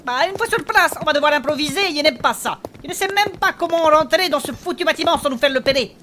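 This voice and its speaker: High-pitched grumbling innkeeper adventurer played by a woman. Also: expressive, fast, pushed and bright, a little hiss or hum. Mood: worried. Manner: complaining, blunt, sarcastic. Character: grumbling, worried innkeeper turned reluctant adventurer. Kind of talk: comic fantasy audio drama.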